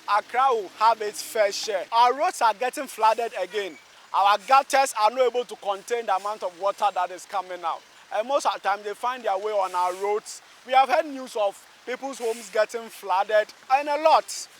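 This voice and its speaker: A man's voice: high voice, a bit bright, a little hiss or hum. A man with a Ghanaian accent reporting from the roadside and interviewing people as a news reporter. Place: outdoors at a busy roadside underpass.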